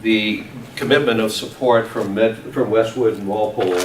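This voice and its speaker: A man chairing a town committee meeting, speaking in measured phrases with frequent restarts. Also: lowish voice, steady tone, a little hiss or hum. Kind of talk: public meeting moderation. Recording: echoey meeting room from far off.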